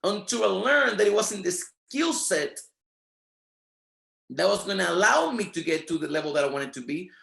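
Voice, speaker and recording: A man's voice: high voice, expressive, normal speed, creaky, pushed and bright, echoey room. A man whose first language is Spanish, speaking with a Spanish accent and giving a motivational training talk in an emphatic, repetitive, preacher-like rhythm. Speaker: network marketing trainer.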